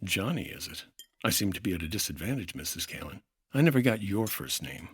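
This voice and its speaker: An actor playing a wry, persistent 1950s insurance investigator in the dry, clipped style of a radio detective drama. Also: male voice, sits low, big pitch swings, brisk, breathy edge, heavily acted. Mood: smooth, wry.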